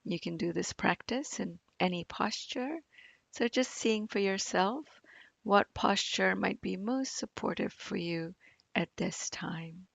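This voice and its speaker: A woman meditation teacher leading a guided practice in a gentle, soothing manner, leaving long pauses between phrases. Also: on the low side, creaky, no room sound, muffled recording. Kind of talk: guided body scan meditation.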